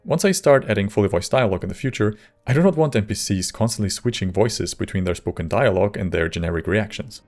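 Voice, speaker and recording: Male video narrator: lowish, creaky voice, measured, dryly wry. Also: a little mellow. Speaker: video creator and modder. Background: faint music.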